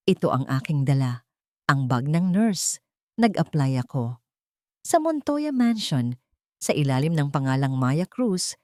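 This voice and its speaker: A woman narrates a dramatic first-person story in a solemn, measured, emotive way. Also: deep voice, mellow, no room sound, clean studio sound.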